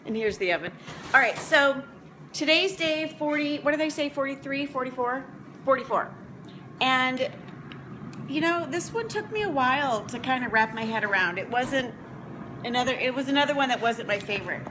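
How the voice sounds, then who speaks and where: A woman's voice: high voice, animated, moderate pace, background noise. A woman in her forties chatting casually to viewers as a motivational vlog host, talking in a rambling, conversational way. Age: 40s. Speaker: motivational vlog host and food prep coach. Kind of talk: casual chat to camera.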